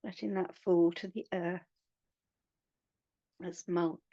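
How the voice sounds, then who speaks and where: A woman guiding a meditation in a soothing, hushed manner, leaving long pauses between phrases. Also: fairly low pitch, level tone, gravelly, no echo, dull audio. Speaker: meditation guide. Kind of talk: guided meditation.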